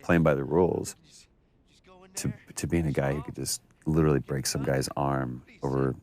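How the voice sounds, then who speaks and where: A man's voice: extremely low pitch, steady tone, normal speed, darkish tone. A man giving casual, enthusiastic commentary as an actor looking back on his work. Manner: enthusiastic, effusive.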